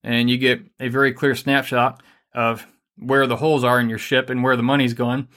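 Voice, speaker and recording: A man co-hosting a casual faith-based money and marriage talk, energetic and rambling with many fillers. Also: medium pitch, normal speed.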